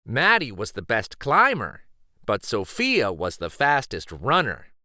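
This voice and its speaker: Animated, unhurried male storyteller. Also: medium pitch, no echo, clean studio sound. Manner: deliberate, animated. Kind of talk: expressive children's picture-book narration.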